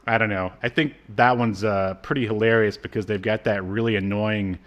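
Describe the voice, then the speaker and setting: A man's voice: on the low side, steady tone, fairly quick, a bit bright, lo-fi sound. A man co-hosting a podcast, chatting casually and jokily as he rambles through his notes. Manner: jokey, rambling. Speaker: podcast co-host. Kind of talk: casual banter, reading off credits.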